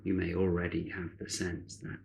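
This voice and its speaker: A man guiding a meditation in a measured, solemn, soothing manner, with long deliberate pauses between short phrases. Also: low voice, monotone, gravelly, darkish tone, slight background noise, low-quality recording. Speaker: meditation guide. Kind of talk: guided meditation, spiritual narration.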